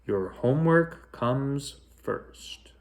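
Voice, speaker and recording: A man voicing a gentle but firm mother in a children's storybook, patient and affectionate as she explains things. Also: mid-range voice, expressive, drawn-out words. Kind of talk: children's storybook character reading.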